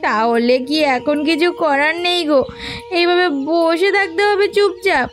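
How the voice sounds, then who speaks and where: A woman voicing a dramatic village wife in a cartoon, complaining and sharp-tongued. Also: extremely high pitch, measured pace. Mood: resigned, plaintive. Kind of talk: Bengali cartoon voice acting.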